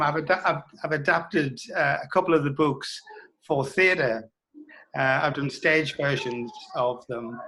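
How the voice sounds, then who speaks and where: A man talking reflectively in an interview, thoughtful and halting, repeating words for emphasis. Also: on the high side, bright, forceful tone, low-quality recording. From North East England. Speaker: interview guest, writer.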